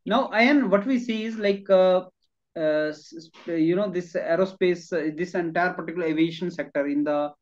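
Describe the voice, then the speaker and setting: A man with an Indian accent and a high-pitched voice conducts a conversational interview. He rephrases his questions as he goes and slips Hindi words into his English. The sound is dull and muffled, like a video call. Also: moderate pace. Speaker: interviewer, educator. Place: classroom in front of a chalkboard.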